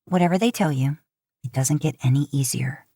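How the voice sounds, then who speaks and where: A woman voicing a steady, caring, middle-aged motherly character in a story. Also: low-pitched, fairly quick, slightly rough, no echo, studio quality. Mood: frank, weary.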